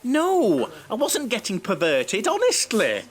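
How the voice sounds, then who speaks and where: An actor playing a chatty, fussy, jokey coach passenger in a comedy audio play, with a flustered, prattling manner. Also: male voice, high-pitched, wildly swooping pitch, slow, heavily acted.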